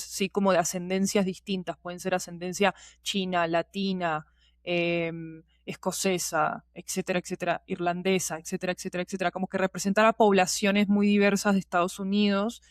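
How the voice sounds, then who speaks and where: A woman's voice: mid-range voice, smooth, dry recording, clean studio sound. A woman talking as an interview guest, explaining in a conversational storytelling way and checking that the listener follows. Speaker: podcast producer, interview guest.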